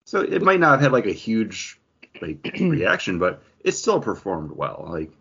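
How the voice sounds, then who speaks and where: Low, muffled-sounding man chatting casually. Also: huge pitch swings, measured pace, creaky, a bit bright, breathy edge. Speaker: podcast co-host. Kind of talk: casual pop-culture discussion. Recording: dull, lo-fi audio.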